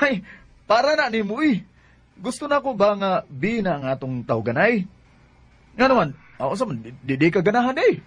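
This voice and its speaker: A man acting in a Bisaya radio drama as a smooth-talking, evasive young delivery driver with a Cebuano Filipino accent, given to exaggerated reactions and muttered asides. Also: high voice, very animated, moderate pace, fairly bright tone, no echo, dull audio, heavily acted. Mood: flustered, evasive. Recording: noisy radio drama recording.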